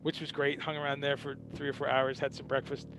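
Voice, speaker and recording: A man's voice: medium pitch, monotone, a little fast. Rambling man with traffic noise behind. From Guyana. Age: 50s. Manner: rambling, loose and conversational. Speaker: host. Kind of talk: casual talk-show intro monologue.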